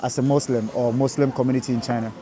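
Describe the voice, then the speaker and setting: A man's voice: mid-range voice, level tone, fast, crowd noise. A curious man conducting a casual street interview, asking questions and echoing the answers. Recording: clear outdoor traffic noise.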